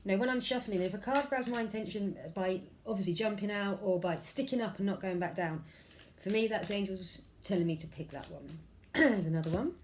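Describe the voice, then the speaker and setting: A woman with a head cold, her voice a little croaky, giving a chatty angel card reading at home with many ums and throat clearings. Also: medium pitch, a little fast, a little hiss or hum. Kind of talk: casual spiritual vlog talk. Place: room at home by a window.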